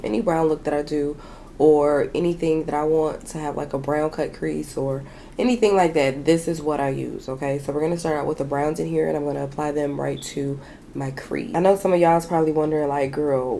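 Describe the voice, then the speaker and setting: A young woman with a raspy, hoarse voice, chatting candidly and swearing casually as she does her makeup at home. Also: dark tone, a little hiss or hum. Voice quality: raspy, deep voice. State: hoarse from sinus trouble. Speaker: beauty vlogger. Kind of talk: casual chat while doing makeup. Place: small bedroom with shelves and a lamp.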